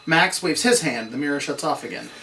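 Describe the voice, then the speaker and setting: Bright-voiced male stage-direction narrator. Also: fairly high pitch, animated, a little fast. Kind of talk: scripted narration at a table read. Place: room, read aloud at a table. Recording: distant room mic, faint noise.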